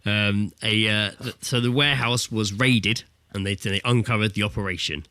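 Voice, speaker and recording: A man's voice: fairly low pitch, fairly even intonation, normal speed, sharp tone, clean tone, no echo. A man in his thirties chatting casually as a podcast co-host, sweary, blokey and jokey. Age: 30s. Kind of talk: casual comedic banter. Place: remote internet call.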